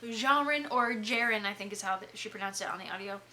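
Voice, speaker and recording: Candid, bright-toned Southern woman. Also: medium pitch, poor audio. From Southern United States. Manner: candid, opinionated, quick to laugh. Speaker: book podcast co-host. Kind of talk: casual chat.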